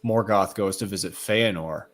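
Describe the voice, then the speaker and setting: A man's voice: sits low, normal speed. A man co-hosting a casual book discussion, talking in an easygoing, informal way. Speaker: co-host.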